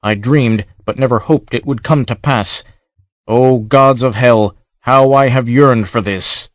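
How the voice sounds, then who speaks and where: A man voicing a wild, bloodthirsty warrior who snarls his words in slow, furious hatred. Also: mid-range voice, dry recording, close to an everyday voice. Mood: savage fury. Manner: snarling, furious, barbarous accent.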